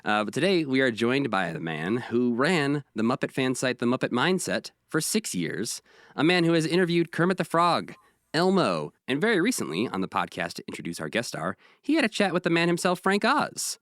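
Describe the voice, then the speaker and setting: Chicago man, co-host, lively up-and-down voice, dry close sound. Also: medium pitch, fairly quick, no room sound. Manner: upbeat, animated introductions. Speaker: podcast co-host. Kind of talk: casual chat. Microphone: video call.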